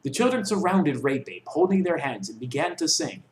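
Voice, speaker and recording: A man's voice: fairly high pitch, sing-song, moderate pace. A young man reading a comic story aloud in a theatrical, mock-serious way. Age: young adult. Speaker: reader. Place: small dorm room with block walls, indoors. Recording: echoey bare room.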